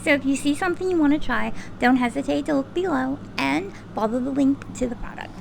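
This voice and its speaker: Stuffed-up lady doing a nail tutorial, gravelly fry, breathing you can hear, rambly. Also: high voice, a little hiss or hum, rough recording. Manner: rambling, halting restarts. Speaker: nail artist. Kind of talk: casual tutorial vlog.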